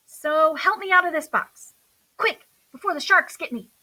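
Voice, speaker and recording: Woman voicing a high, boastful little prickly critter. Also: animated, moderate pace, sharp tone, exaggerated voice, poor audio. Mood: bossy, urgent. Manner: boastful, theatrical declarations. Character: playful, bluffing male prickly little creature. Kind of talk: children's storybook character voice.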